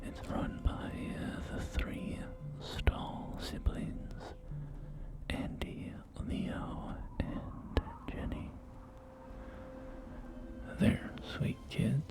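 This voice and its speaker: A man playing a folksy post-apocalyptic real estate agent in a whispered ASMR roleplay, with gentle, hesitant, reassuring sales patter. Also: very slow. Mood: reassuring, informative. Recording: close whisper over soft music.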